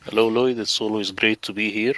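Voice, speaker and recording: A man from the Middle East who speaks Arabic natively, talking as a guest over a video call in a deliberate, emphatic, lecturing way. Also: lowish voice, steady tone, sharp tone, no echo, poor audio. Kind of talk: casual livestream conversation.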